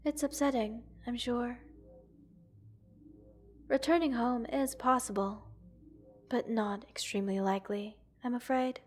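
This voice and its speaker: A woman voicing a gentle, curious alien scientist in an ASMR roleplay, speaking formally, precisely and reassuringly to the listener. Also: fairly high pitch, steady tone, measured pace, pauses often, soft background music, lightly acted. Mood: patient explaining. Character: gentle, curious multi-world alien scientist studying a captive human.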